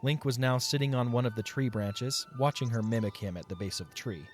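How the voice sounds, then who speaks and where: A man's voice: medium pitch, a little fast. A man reading scripted story narration for a fantasy audio drama. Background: soft music.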